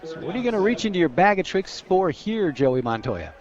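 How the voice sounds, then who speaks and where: Slightly muffled, high-voiced American football analyst, male. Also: big pitch swings, brisk, a little mellow. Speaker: color commentator. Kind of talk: live radio sports commentary, analytical. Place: outdoors at a football stadium. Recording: slightly dull radio broadcast audio.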